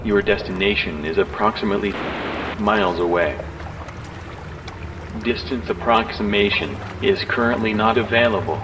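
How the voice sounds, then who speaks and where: An actor voicing a monotone, mechanical navigation system. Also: male voice, lowish voice, normal speed, long pauses, noisy background. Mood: flat. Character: flat robotic navigation voice.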